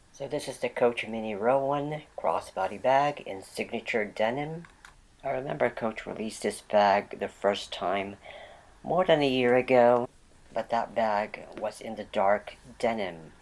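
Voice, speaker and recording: A vlog host narrating a product unboxing with a voice left raspy by a lingering cold. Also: mid-range voice, poor audio.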